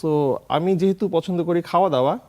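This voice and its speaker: A Bangladeshi man with a fairly high voice talks as an interview guest. He tells his story in a casual, conversational way. English words and phrases are mixed into his speech. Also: fairly quick, darkish tone. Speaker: guest, food content creator.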